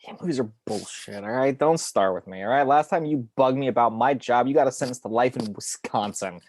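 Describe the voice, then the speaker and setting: A man's voice: mid-range voice, a little fast, slight background noise, lightly acted. A man playing a cynical, cocky angel of death in a film script table read, arguing in a slangy, opinionated way. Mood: irritated.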